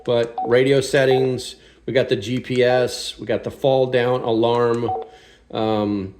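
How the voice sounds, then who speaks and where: A man's voice: mid-range voice, little pitch movement, slow pace, noisy background. A man hosting a casual hands-on product review, speaking in a blunt, informal, opinionated way. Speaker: product reviewer and channel host.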